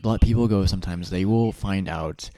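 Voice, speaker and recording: A man's voice: low-pitched, moderate pace, dark tone. A man giving sarcastic reaction commentary over a livestream, teasing and mocking the people in it. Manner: sarcastic, teasing, chanting mock noises.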